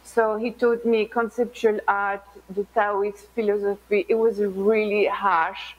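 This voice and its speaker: A woman's voice: mid-range voice, measured pace. Middle-aged Belgian-raised woman on a dull video call. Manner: animated, non-native phrasing, hand gestures. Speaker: artist guest.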